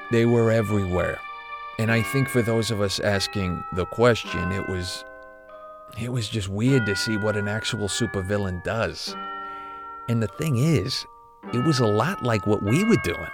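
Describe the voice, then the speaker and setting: An actor playing an older, guilt-ridden retired corporate executive who confides haltingly, as in an audio drama interview. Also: male voice, on the low side, natural voice. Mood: grim, reflective. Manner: hesitant, confessional, halting.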